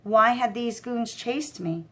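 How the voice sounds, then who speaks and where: A woman narrating a children's novel as an expressive storyteller. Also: a little high, takes it slowly.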